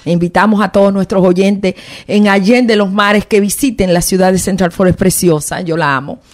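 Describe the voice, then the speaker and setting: A woman with a Puerto Rican accent hosts a podcast interview in a lively, conversational way, reacting readily to her guest. Her voice sits at an ordinary middle pitch for a woman. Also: moderate pace. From Puerto Rico. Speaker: podcast host.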